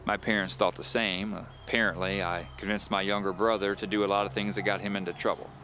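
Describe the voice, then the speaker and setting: A man's voice: lowish voice, little pitch movement, quick pace, bright, forceful tone, slight background noise. A man playing a polite, wry thriller novelist in an audio drama, courteous and dryly humorous. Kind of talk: audio drama first-person storytelling.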